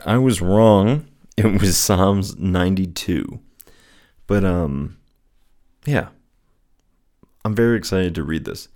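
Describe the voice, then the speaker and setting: A man with a soothing voice speaking in an earnest, gently encouraging way, as the host of a personal faith show. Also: deep voice. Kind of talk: casual reflective solo talk with scripture reading.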